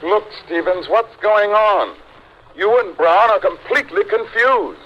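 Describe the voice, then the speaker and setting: An actor playing a stern, exasperated client in old-time radio comedy, curt and sarcastic. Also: male voice, high voice, unhurried. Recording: muffled vintage radio comedy recording.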